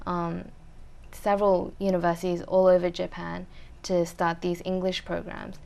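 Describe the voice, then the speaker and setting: A young woman answering interview questions in a thoughtful, measured way with frequent pauses. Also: fairly low pitch, little pitch movement, creaky, warm tone. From Australia. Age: young adult. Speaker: interview guest, university student.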